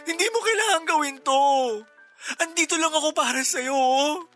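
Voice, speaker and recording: A man's voice: very high voice, animated, moderate pace, a bit bright, soft background music. A man playing a devoted young boyfriend in a Tagalog radio drama, speaking with emotional, imploring insistence. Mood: pleading, hurt. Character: devoted, pleading young boyfriend and office co-worker.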